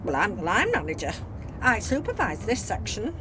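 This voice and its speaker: A woman's voice: medium pitch, very animated, moderate pace, lightly acted. A woman playing a fussy, kindly line manager rallying and soothing her workers in an amateur radio play. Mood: reassuring. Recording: clearly noisy amateur recording.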